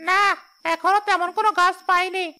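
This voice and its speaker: Woman voicing a shrill, even-toned placating ghost. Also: fairly quick. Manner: reassuring, placating. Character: placating tree-dwelling ghost, companion of a she-ghost. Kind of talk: Bengali cartoon ghost story voice acting.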